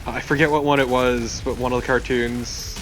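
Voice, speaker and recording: A man's voice: medium pitch, little pitch movement, moderate pace, fairly bright tone. A man chatting casually as a co-commentator during a video game let's play. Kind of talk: casual banter over gameplay. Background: game music.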